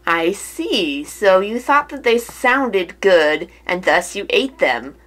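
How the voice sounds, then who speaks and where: A woman voicing an earnest, flustered young male lawyer in an amateur puppet show, hesitantly thinking out loud. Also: medium pitch, sing-song, slow pace, background noise, pitched below the performer's own voice. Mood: probing. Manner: hesitant, puzzling things out aloud.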